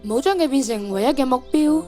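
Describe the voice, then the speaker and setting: A woman's voice: high voice, lively intonation, fast, warm tone, soft background music. A teenage girl playing a blunt, matter-of-fact schoolgirl in a radio drama. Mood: thoughtful.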